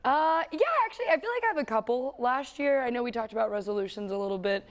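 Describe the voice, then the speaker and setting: A woman co-hosting a morning TV show with a raspy, slightly high voice. She talks in a playful, easygoing way and laughs often with her co-hosts. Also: fast talker. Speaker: TV co-host. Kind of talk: morning TV chat.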